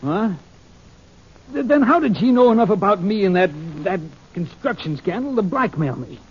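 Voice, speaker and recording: An actor playing a wounded, gullible friend who is being blackmailed, in an earnest 1940s radio drama style. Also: male voice, sits high, sing-song, normal speed, husky, heavily acted. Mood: suspicious. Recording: muffled, noisy 1940s radio broadcast.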